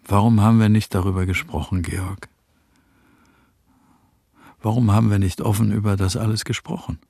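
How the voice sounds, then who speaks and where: A brooding radio play man, kinda low and husky, quiet, lots of gravel. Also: steady tone, normal speed, no room sound. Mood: reproachful, sad. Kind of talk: German radio play acting.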